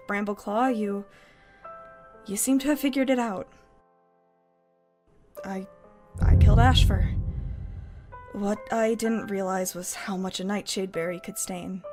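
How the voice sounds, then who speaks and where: A woman in an animated fan dub voicing an older, remorseful warrior cat with a halting, solemn delivery. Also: mid-range voice. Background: music.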